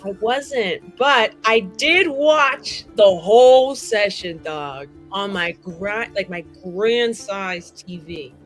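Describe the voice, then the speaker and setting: A woman's voice: mid-range voice, lively intonation, slow, few pauses. A woman talking casually and playfully as a tabletop game player, with a theatrical, effusive way of putting things. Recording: music behind the voice. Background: music.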